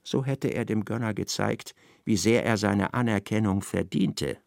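A man narrating a literary radio play in a dramatic, expressive, scripted style. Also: lowish voice, unhurried, creaky, no room sound, studio quality.

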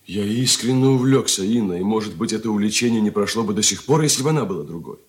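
A man acts out an anxious, evasive suitor in a Soviet radio drama. His fairly low voice moves animatedly up and down, with an earnest, halting, theatrical delivery. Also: animated, a little mellow, lightly acted. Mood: earnest confession. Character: nervous, evasive suitor confessing to his fiancée, then pleading.

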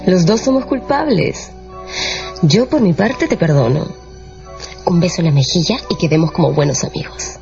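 An actress playing a tempestuous, seductive French courtesan in a theatrical, emotional radio drama style. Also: female voice, on the low side, lively intonation, normal speed, creaky. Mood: coolly seductive. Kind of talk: Spanish-language radio drama acting. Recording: dull radio drama with music behind.

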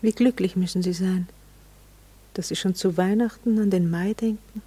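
An actress playing a wistful, curious, well-bred married lady in a radio play, with a husky, breathy voice and a hesitant, probing manner. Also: medium pitch, fairly even intonation, normal speed, mellow, lightly acted. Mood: wistful, lightly ironic. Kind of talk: intimate radio play dialogue.

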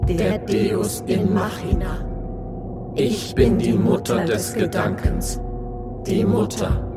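An all-powerful machine that boasts of its own mind, high and slow-spoken. It proclaims itself the mother of thought, grandiose and self-glorifying, over loud music and gong tones. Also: very animated, heavily acted. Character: all-knowing male Machine, grandiose and godlike. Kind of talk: radio play acting.

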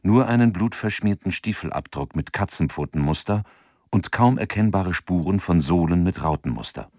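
A man narrating a radio drama in a measured, sober, scripted documentary style. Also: low voice, animated, darkish tone, no room sound, clean studio sound.

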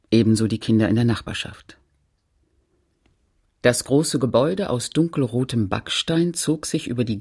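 A man with a husky, creaky voice reading scripted literary narration in a radio play. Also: mid-range voice, a little mellow. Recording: music behind the voice.

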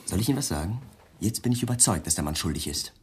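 An actor playing a police lieutenant who questions in a polite, insinuating, deliberate way, in a German radio crime drama. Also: male voice, low-pitched, expressive, slight background noise.

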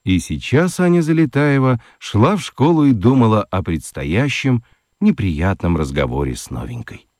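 A man narrating a children's radio play with expressive storytelling. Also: fairly low pitch, normal speed.

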